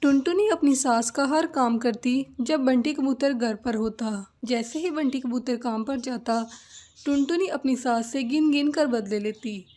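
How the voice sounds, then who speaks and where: A woman's voice: high-pitched, a little slow, a little mellow. A woman narrating a scripted children's cartoon story.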